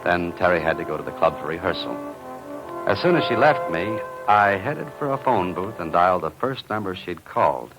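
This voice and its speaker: A man's voice: sits low, expressive, normal speed, low-quality recording. A man playing a cool, dryly sly investigator who narrates his own adventure in a 1950s radio mystery. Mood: wry, measured. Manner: dry, playfully sly, clipped. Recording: vintage radio recording with music.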